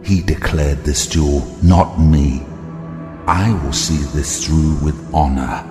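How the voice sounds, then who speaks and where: Super deep slow evil king, imperious, big echoey hall, loud music behind, audio drama. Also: fairly even intonation. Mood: grave resolve. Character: menacing usurper Night King, cold, proud, inhuman ruler.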